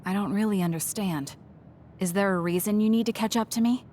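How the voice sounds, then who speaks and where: A young woman playing a composed, blunt and earnest platoon fighter in an anime game dub, with a measured, matter-of-fact delivery. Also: mid-range voice, dark tone, a little hiss or hum, natural voice. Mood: calm, puzzled.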